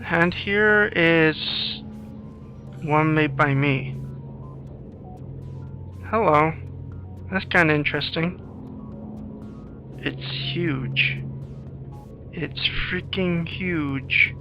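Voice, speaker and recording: A man's voice: fairly high pitch, drawn-out words, long pauses, lo-fi sound, soft background music. A man giving casual playthrough commentary over a video game, repeating words as he reacts to the play. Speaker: gamer and screenwriter commentator. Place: recorded over gameplay with music.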